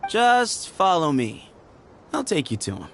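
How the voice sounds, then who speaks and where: A man's voice: fairly high pitch, wildly swooping pitch, noisy background, heavily acted. A sly, drawling, teasing young man. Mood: coaxing. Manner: playful, mocking, unhurried drawl. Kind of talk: game cutscene voice acting.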